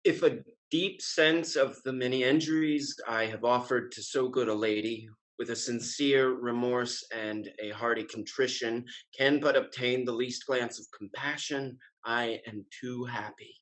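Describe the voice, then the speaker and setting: A smooth-talking gentleman rake from a Restoration comedy, acted by a man. He has a mid-pitched voice and pleads in measured, florid phrases. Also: steady tone, lightly acted. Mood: contrite, pleading. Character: suave, flattering gentleman rake playing the penitent lover. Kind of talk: scripted stage comedy acting.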